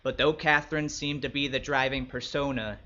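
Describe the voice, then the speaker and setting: A man plays a wary young hustler from the 1960s telling his own short story with wry bluntness. The voice is bright and forceful at a middle pitch, on slightly dull, lo-fi audio. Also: fairly even intonation, moderate pace, close to an everyday voice. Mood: wry, reflective. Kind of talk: first-person short story narration with acted dialogue.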